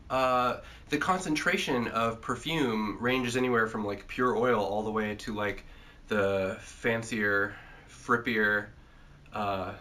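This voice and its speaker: A man's voice: medium pitch, pushed and bright. A man hosting a casual chat, congested from a cold, rambling and joking with lots of filler sounds. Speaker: host.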